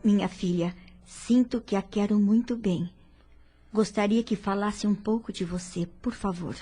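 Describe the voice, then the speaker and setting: A frail older female patient in a Brazilian audio drama, played by a woman. Her faint, labored voice is heavily breathy and soft in tone, serene and tender despite her weakness. Music sits lightly behind her. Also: mid-range voice, a little slow.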